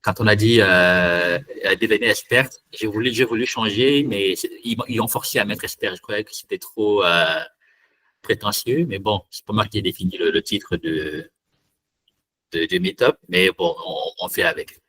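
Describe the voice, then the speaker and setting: A man's voice: on the low side, normal speed, sharp tone, slight background noise. A man from Cameroon hosting a live online tech meetup, talking hesitantly with many fillers and restarts. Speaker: meetup host and trainer.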